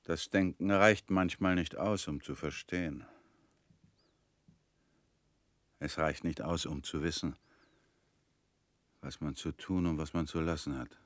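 An actor in a radio drama playing a comrade who asks quiet, probing questions. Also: male voice, very deep voice, normal speed, no echo. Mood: somber.

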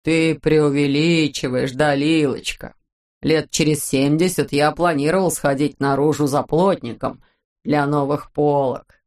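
A woman voicing a gentle old brain-eating husband who speaks slowly in a hoarse, wheezy voice. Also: deep voice, fairly even intonation, voice pushed down. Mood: tender. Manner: slow and soft-spoken.

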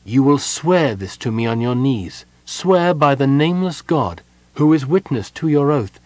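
Male narrator as commanding woman war leader, cool, solemn, fanatical, raised lively pitch, storybook. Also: measured pace, no echo, natural voice.

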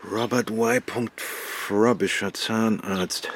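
An actor playing a composed, dryly witty gentleman detective in a German radio crime drama, polite and probing. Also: on the low side, fairly even intonation, very slow. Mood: wry, casual.

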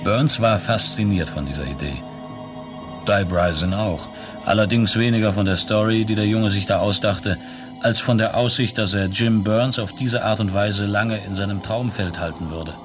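A man's voice: lowish voice, moderate pace, natural voice. A man acting as the detached storyteller of a science fiction radio play, matter-of-fact and sober in his delivery. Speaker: narrator. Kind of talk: scripted radio play narration. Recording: 1970s radio play with music behind.

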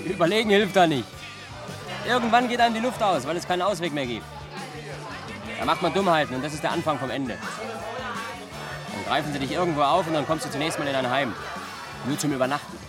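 An actor in a radio play playing a discouraging, world-weary man who warns bluntly and forcefully. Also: a little high, a little fast, pauses often, natural voice. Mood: blunt warning. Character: discouraging, world-weary man warning a youngster against running away. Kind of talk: German radio play acting. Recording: loud street crowd and music behind.

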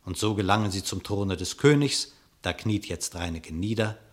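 A man reads a verse fable with measured, expressive storytelling. His voice sits somewhat low. His scripted narration carries the telling of a classic radio play.

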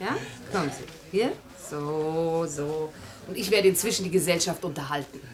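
An actress playing a poised, managing society hostess in a radio play, firmly caring and genteel. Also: female voice, low-pitched, normal speed, close to an everyday voice. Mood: soothing, solicitous. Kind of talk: 1950s German radio play acting. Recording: 1950s radio recording, party chatter behind.

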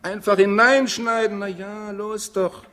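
A man's voice: sits high, steady tone, a little slow, pushed and bright. An actor playing a bossy, impatient man in an experimental radio play, with a brusque and erratic delivery. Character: bossy, impatient man barking gruesome instructions. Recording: long reverb, music behind.

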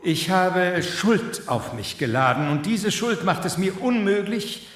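High-pitched, oratorical older professor in an echoey hall. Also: male voice, sing-song, measured pace, a bit bright, heavily acted. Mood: solemn, confessing. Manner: formal, oratorical. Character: solemn male professor confessing guilt, believer in dark powers. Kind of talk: radio play acting. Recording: echoey hall, distant, faint noise.

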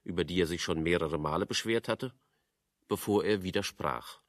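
A man giving scripted literary narration for a radio drama in a measured storytelling manner. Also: deep voice, no room sound, clean studio sound.

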